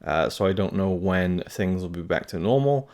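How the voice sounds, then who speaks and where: A man's voice: low voice, expressive, normal speed, a little mellow. A man hosting his own channel, giving a casual update to camera in a hesitant, rambling way, talking carefully around tooth pain. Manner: rambling, hesitant, many fillers and restarts. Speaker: film review channel host.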